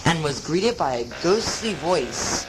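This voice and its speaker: A storyteller narrating a scripted, spooky first-person tale in a measured storybook manner. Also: mid-range voice, very animated, noisy background. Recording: loud music under the voice. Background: loud music.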